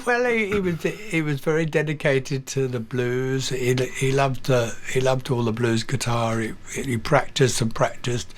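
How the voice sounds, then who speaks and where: Older English man, interview guest; halting, filler-heavy storyteller. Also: mid-range voice. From England. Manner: halting, with many fillers and repeated words. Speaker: guest, drummer.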